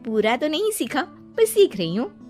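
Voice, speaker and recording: Woman acting a high-pitched fussy young daughter-in-law. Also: sing-song, normal speed, darkish tone, soft background music. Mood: sheepish. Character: young fitness-freak daughter-in-law, fussy, apologetic, eager.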